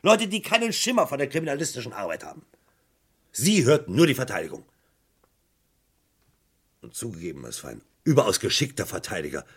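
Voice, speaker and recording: A man playing a disillusioned, bitter police detective in a crime radio play, speaking emphatically in a husky voice. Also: mid-range voice, wildly swooping pitch, a little fast, pauses often, a bit bright, heavily acted. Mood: bitter recounting. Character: embittered, disillusioned English police forensic detective. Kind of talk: German crime radio play, recounting a case.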